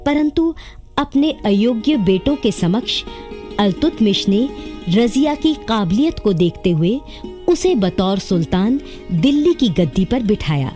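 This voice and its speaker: A woman giving formal, expressive scripted narration as the introduction to a historical radio play. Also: medium pitch, measured pace, soft background music.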